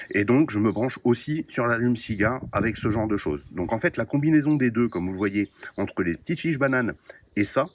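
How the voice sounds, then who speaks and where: A man showing his radio kit to the camera in a casual, explanatory way, often pausing with hesitant fillers. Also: fairly low pitch. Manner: explanatory, many "euh" pauses. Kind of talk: casual gear show-and-tell.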